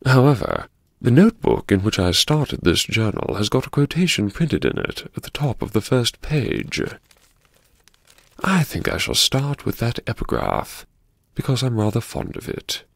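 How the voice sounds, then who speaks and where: An actor playing a genteel, troubled gentleman diarist, narrating an audiobook in a mannered, hesitant, politely formal and wryly confessional way. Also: mid-range voice, huge pitch swings, normal speed, creaky, no echo, exaggerated voice. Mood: resolved, fussy. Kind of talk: first-person dramatic audiobook narration.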